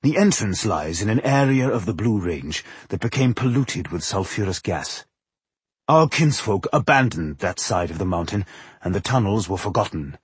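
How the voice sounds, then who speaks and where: A man voicing an aged, frail dwarf king in a fantasy audiobook, wise and solemn, with a weathered voice and a slow, deliberate delivery. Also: fairly low pitch, expressive, breathy edge, no echo. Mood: cautious, grave. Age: older.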